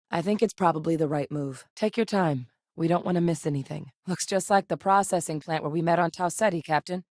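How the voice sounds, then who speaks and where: A woman playing a seasoned, guarded ex-military scientist in a video game, speaking in a measured, serious, matter-of-fact way. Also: lowish voice, dry recording, studio quality, close to an everyday voice. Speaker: video game companion character.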